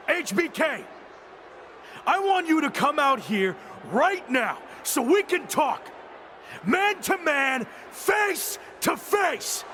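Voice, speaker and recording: An actor playing a defiant, aggrieved young pro wrestler in a video game, speaking in an emphatic, confrontational promo style. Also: male voice, very high voice, bright, forceful tone, slight background noise, exaggerated voice.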